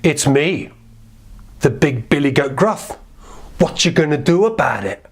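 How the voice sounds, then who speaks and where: A man's voice: fairly high pitch, expressive, a little slow, husky. A man voicing a big horned billy goat in a storybook tale, bold, confident, blunt and unafraid. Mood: defiant.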